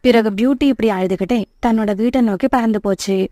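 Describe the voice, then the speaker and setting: A woman's voice: on the high side, fast, dark tone, no room sound, clean studio sound. A woman narrating a scripted children's story as the storyteller.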